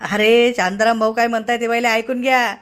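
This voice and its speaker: Cartoon council boss, woman as village man: high voice, commanding, insistent. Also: steady tone, brisk, smooth, close to an everyday voice. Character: bossy male village council leader. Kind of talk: cartoon comedy dialogue.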